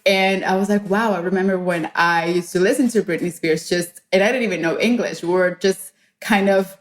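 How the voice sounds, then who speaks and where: A woman's voice: fairly low pitch, fairly even intonation, a little fast. A woman from Colombia speaking conversationally as a guest in an interview. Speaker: podcast guest, journalist.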